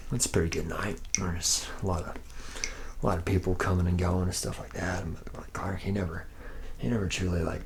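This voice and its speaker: A man acts out a tipsy, easygoing factory-worker ladies' man with a very low voice full of gravelly fry. He rambles in slack, boastful slang, doubling back on himself in a soft ASMR roleplay, with faint hiss behind him. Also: little pitch movement, brisk. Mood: chummy, rambling. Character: laid-back drunken womanizing male robot factory worker. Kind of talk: ASMR roleplay, soft improvised-sounding monologue.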